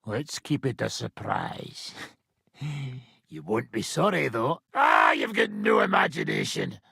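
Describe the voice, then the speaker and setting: An actor playing a brash, foul-mouthed medieval mercenary commander in a video game, with a rough, raspy voice and a coarse, sardonic swagger. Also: male voice, very deep voice, wildly swooping pitch, slow pace, sharp tone, no room sound, studio quality, exaggerated voice. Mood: mocking, joking. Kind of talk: video game character acting.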